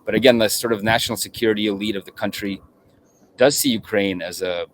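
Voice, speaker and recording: Halting low-voiced man in conversation. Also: a little hiss or hum. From Russia. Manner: halting, many restarts and repeated words. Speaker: guest journalist. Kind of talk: conversational interview.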